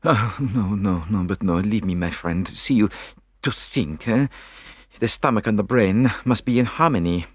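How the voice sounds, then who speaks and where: A man voicing a fussy, confident little detective with a French accent, speaking in a halting, theatrical way for an audiobook story. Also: on the low side, slightly rough, no room sound, clean studio sound, voice pushed up. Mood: seasick, suffering.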